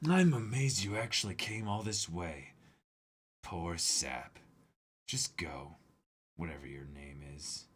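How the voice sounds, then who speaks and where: A cold young prince, acted by a man in a dramatic manga reading. He speaks slowly in a low, husky voice, with haughty disdain. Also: full character voice. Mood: dismissive. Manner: deliberate, disdainful. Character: cold, haughty young prince spurning his pirate crewmates.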